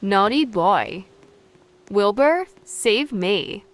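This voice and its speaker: A woman voicing an excitable, bossy storybook witch, with exclamatory, emphatic delivery. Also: medium pitch, drawn-out words. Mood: cross, disgusted. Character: excitable witch, fussy and bossy pet owner.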